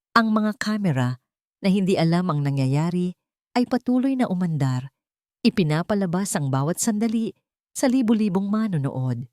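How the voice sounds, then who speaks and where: Female drama narrator, slightly low register, scripted romance-melodrama storytelling, very dry recording. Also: dark tone, no echo.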